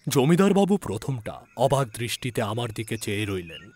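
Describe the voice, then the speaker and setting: A man narrating a scripted story in a deliberate, suspenseful, dramatic style. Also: medium pitch, very animated, darkish tone, a little hiss or hum.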